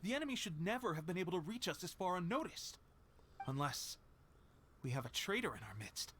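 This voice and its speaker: A high, animated, boastful soldier. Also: male voice, fairly quick, slight background noise. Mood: alarmed, resolute. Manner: self-important, spirited. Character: eager, boastful resistance soldier hungry for frontline glory. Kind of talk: video game dialogue.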